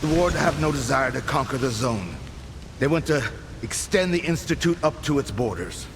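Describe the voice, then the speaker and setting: A man's voice: medium pitch, lively intonation, moderate pace, background noise, lightly acted. A man playing a wry, dreamy rebel leader in a video game, with a musing, storyteller-like delivery. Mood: wry, wary. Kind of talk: dramatic video game cutscene acting.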